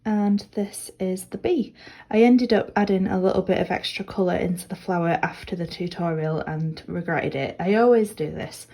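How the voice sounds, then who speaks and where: A woman with a slightly low voice gives a relaxed, meandering tour of her small studio. She sounds nasal and bunged up from a cold, and her talk wanders along with lots of little fillers. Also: moderate pace. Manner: chatty and rambling, full of "like" fillers. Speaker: artist vlogger. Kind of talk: casual studio tour vlog. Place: small home art studio.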